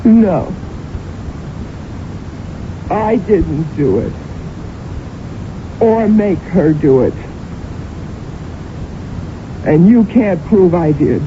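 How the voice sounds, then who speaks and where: Jealous defensive wife, theatrical radio drama, slow halting delivery, widely swooping pitch. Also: female voice, animated. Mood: bitter. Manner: theatrical, halting, emotionally intense. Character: anguished, defensive, jealous actress wife under accusation. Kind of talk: radio mystery drama acting. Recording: noisy, narrow-band old radio recording.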